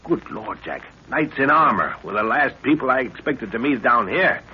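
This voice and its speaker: An actor playing an authoritative older professor leading a jungle expedition in 1930s radio style, commanding and sometimes grandly archaic. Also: male voice, medium pitch, big pitch swings, moderate pace, husky. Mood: excited, cautious. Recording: muffled, noisy 1930s radio recording.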